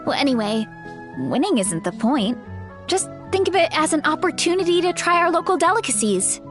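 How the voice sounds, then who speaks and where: A woman voicing a gentle, modest, absent-minded young warrior in a video game, with a hesitant manner. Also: high-pitched, very animated, normal speed, over music. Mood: encouraging. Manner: hesitant, soft-hearted, trailing off. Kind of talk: video game character acting.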